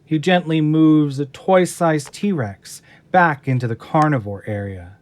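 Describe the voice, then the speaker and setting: Higher-pitched male audio drama narrator. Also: unhurried.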